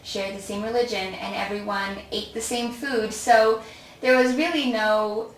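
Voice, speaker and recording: A woman's voice: mid-range voice, fairly even intonation, measured pace, a little hiss or hum. A woman with a slight Romanian accent, Romanian her first language, telling her family story as an interview guest with frequent laughter. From born in Australia, raised in Chicago. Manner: warm storytelling, laughs often. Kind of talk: casual interview conversation. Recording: echoey room, mic at a distance.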